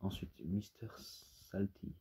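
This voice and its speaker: Slow, halting low-voiced man. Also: fairly even intonation, warm tone, slightly rough, rough recording. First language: French. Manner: halting, hesitant, searching for words. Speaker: amateur reviewer. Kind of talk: casual unscripted DVD review vlog.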